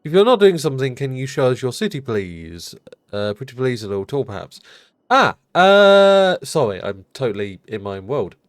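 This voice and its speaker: Stuffy-nosed man chatting, lively pitch. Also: moderate pace, no room sound, studio quality. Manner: rambling, chatty, swears casually. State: blocked-up nose, just out of bed. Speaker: streamer. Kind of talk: casual chat while playing a city-building game.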